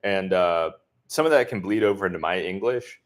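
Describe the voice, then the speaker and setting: A man from the southern US answering viewers' comments in a relaxed livestream chat, speaking with a deliberately neutral accent and many filler words. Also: deep voice. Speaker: expat vlogger answering live chat. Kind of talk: casual livestream Q&A.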